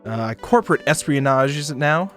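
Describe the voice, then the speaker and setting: A man's voice: a little high, expressive, normal speed, a bit bright, faint music behind. A man voicing a wry, broke, laid-back space shuttle passenger in a visual novel, speaking casually. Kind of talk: visual novel character reading.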